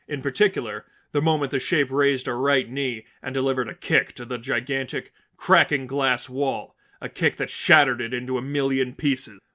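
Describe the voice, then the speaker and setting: Male narrator for dramatic fan fiction, medium pitch, scripted story delivery. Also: fairly even intonation, moderate pace, hardly any pauses, sharp tone.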